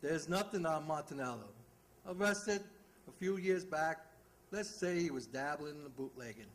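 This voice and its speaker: A lovable shaggy homicide cop in an old radio show, jokey, kinda high voice, really up and down. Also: male voice, lively intonation, moderate pace. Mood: casual, probing. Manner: jocular, folksy, needling. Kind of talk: old-time radio detective drama acting.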